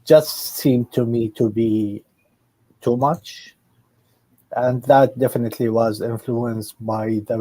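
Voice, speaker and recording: A man from Syria, speaking as a guest in a casual video chat, slow and hesitant, reflecting as he talks. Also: lowish voice, mellow, husky, no room sound, low-quality recording. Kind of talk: casual conversation.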